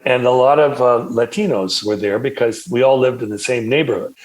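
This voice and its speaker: An older man from California, a film director and producer, telling his story in a measured, reflective way. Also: medium pitch, low-quality recording. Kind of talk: interview storytelling.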